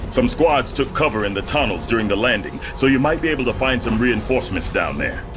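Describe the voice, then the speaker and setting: A man playing a proud, authoritative military general in a game cutscene, formal and measured. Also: medium pitch, lively intonation, over music, natural voice. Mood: proud, businesslike. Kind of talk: video game cutscene acting.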